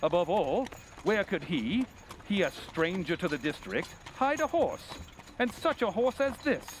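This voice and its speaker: Guy playing a confident brainy radio detective, highish voice that swings around, formal and exact, bit of background hiss. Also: sing-song, measured pace, low-quality recording. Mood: probing. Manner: crisp rhetorical questioning, formal and precise. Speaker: community theatre actor. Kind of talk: old-time radio drama acting. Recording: faint background noise.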